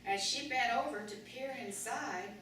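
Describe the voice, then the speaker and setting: A woman tells a storybook tale for children as a live puppet show narrator. Her voice is a little high. It comes from a distant mic in a very echoey room with clear background noise. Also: normal speed, pushed and bright.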